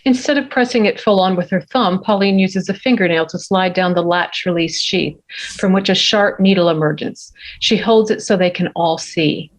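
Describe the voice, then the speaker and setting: A woman's voice: on the low side, normal speed, low-quality recording. A woman reading scene headings and action lines as narrator at a script table read. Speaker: stage direction narrator.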